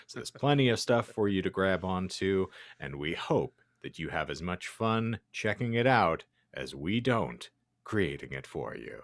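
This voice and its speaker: A man's voice: deep voice, very animated, a little slow, no echo, studio quality. A man hosting a comedic podcast, speaking in wordy, mock-formal announcer phrases. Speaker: podcast host. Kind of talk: comedic podcast hosting with fan fiction reading.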